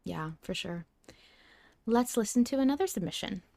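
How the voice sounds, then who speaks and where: A woman's voice: medium pitch, lively intonation, normal speed, vocal fry, warm tone, no room sound. A woman co-hosting a podcast in casual discussion. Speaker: podcast co-host.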